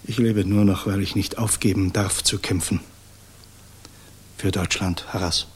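An actor playing a grave, guilt-ridden idealist of the German resistance in a 1960s radio drama, speaking solemnly and deliberately with weighty pauses and a slightly husky voice. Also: male voice, sits low, fairly even intonation, heavily acted. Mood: remorseful, earnest.

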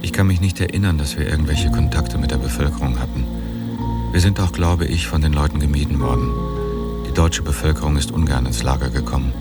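Very deep-voiced man under loud music. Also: a little fast. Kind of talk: reading a first-person memory.

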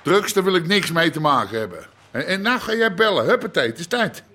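Radio drama role: impatient underworld boss, rough and bright-edged, dismissive, highish voice. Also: male voice, sing-song, fairly quick, heavily acted. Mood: irritated, dismissive. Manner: curt, dismissive, sarcastic. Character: blunt, impatient Amsterdam crime boss with money trouble. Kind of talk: Dutch radio drama acting.

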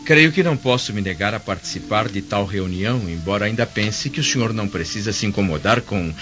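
Um ator de radionovela interpretando um senador romano modesto e digno, de fala formal e educada. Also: male voice, on the low side, expressive, brisk, fairly bright tone. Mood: courteous, reluctant. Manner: formal, hesitant, polite. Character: modest, courteous, dignified Roman senator. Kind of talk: radio drama acting. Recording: dull lo-fi, music underneath.

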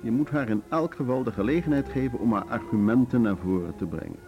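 An actor in a Dutch radio drama playing a diplomatic male board chairman, urbane, measured and persuasive. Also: medium pitch, dull audio, soft background music, lightly acted. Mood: persuasive, soothing. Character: diplomatic, suave male board chairman smoothing things over.

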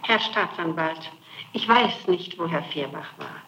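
A woman's voice: lowish voice, a little slow, sharp tone, slight background noise, poor audio. A woman being questioned as a suspect, arguing defensively and insistently and often cutting in. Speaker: suspect under interrogation. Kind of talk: police interrogation, argumentative.

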